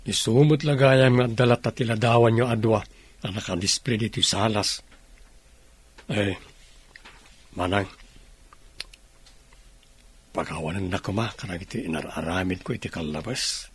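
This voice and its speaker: An actor playing a remorseful younger brother with a husky voice in a radio drama. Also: male voice, on the low side, measured pace, long pauses. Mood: concerned, probing.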